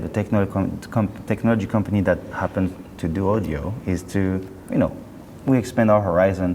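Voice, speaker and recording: A man being interviewed as a company representative, chatting casually in a halting, self-correcting way. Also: low voice, a little mellow. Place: indoor hi-fi show. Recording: roomy hall, clear background noise.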